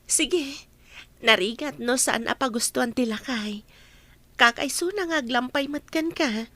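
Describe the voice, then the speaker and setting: A woman acting in an Ilocano radio drama as a young, gentle married woman, speaking with a Filipino Ilocano accent. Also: high voice, a little slow, no room sound, full character voice. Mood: casual. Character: young married woman Joy, gentle, respectful granddaughter-in-law.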